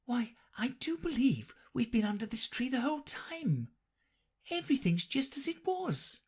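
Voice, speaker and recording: A man's voice: high voice, expressive, unhurried, slightly rough, pitched above the performer's own voice, full character voice. A man voicing a polite, puzzled little girl who speaks hesitantly and a bit out of breath.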